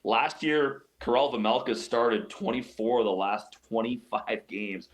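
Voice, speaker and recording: A man's voice: mid-range voice, measured pace. A man giving sports analysis as an interview guest, explaining his points emphatically and often repeating phrases. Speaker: radio play-by-play announcer as guest.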